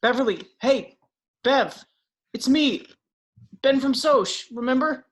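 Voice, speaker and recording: An urgent, pleading teenage boy, read by a woman. The voice sits a little high and leaps up and down as the pleas press on. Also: expressive, slow. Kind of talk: table read.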